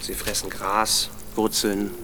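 An actor playing a guarded, defensive husband in a naturalistic radio drama, terse and matter-of-fact. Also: male voice, fairly low pitch, completely flat delivery, takes it slowly, pushed and bright, a little hiss or hum.

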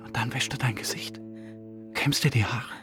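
A man acting a secretive lover and burdened accomplice in a radio play. He speaks deliberately and with control, like someone giving quiet instructions, with music clearly underneath. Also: medium pitch, natural voice. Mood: urgent, controlled. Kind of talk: radio drama acting with intimate inner monologue.

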